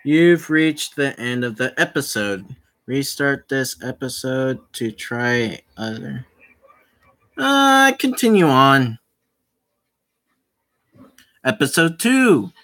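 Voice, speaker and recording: A gaming host doing a casual let's play, reading visual novel text aloud in a theatrical, halting way and repeating words. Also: medium pitch, big pitch swings, a bit bright. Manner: theatrical, puts on voices while reading.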